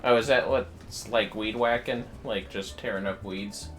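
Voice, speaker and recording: A man hosting a livestream, chatting casually and thinking aloud in a rambling, halting way as he plays a game. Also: fairly low pitch, steady tone, a bit bright. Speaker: livestream host. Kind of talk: casual chat while playing a game. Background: music.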